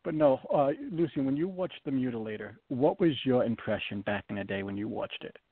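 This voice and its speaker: A man's voice: mid-range voice, lively intonation, a little fast, dry recording. Rhode Island man hosting on a tinny line. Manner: rambling, restarts often. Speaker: talk show host. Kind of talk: casual panel chat. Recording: dry tinny phone-line audio.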